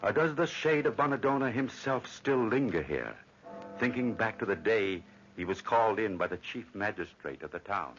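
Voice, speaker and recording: An actor playing a solemn, eerie storyteller, narrating in theatrical old radio drama style. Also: male voice, on the low side, expressive, moderate pace, lightly acted. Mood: brooding, mysterious. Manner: portentous, theatrical. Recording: dull old radio recording. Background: music.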